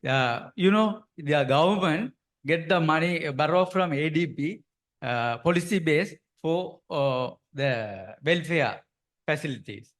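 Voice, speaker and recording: A man with a Sri Lankan accent, an official commenting from the audience at a conference in halting, short phrases with non-native grammar. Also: on the high side, lively intonation, fairly bright tone. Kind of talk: unscripted comment at a conference discussion.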